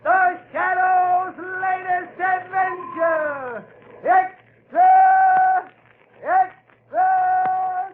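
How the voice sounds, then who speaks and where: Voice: extremely high pitch, steady tone, drawn-out words, a little hiss or hum, exaggerated voice. An actor playing a street newsboy who calls out the news in a 1930s radio drama. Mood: shouting. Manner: shouting, calling out. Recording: tinny, echoey 1930s radio broadcast.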